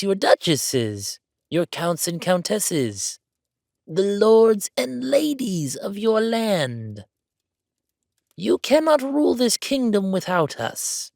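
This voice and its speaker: A man's voice: high voice, creaky, no room sound, clean studio sound, voice pushed up, exaggerated voice. A man voicing a haughty, blunt count in a fantasy roleplay audio drama, with pompous, formal and condescending delivery.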